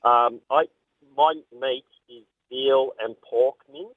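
A man calling in to a radio show, talking in a relaxed, hesitant way with frequent ums. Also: medium pitch, takes it slowly, dry recording. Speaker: caller. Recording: phone line.

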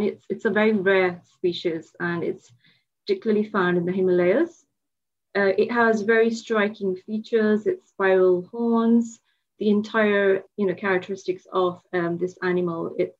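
A Pakistan-born woman, a magazine founder, answering interview questions in a measured way with frequent fillers. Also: mid-range voice. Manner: measured, with frequent um and you know. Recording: dull lo-fi remote audio.

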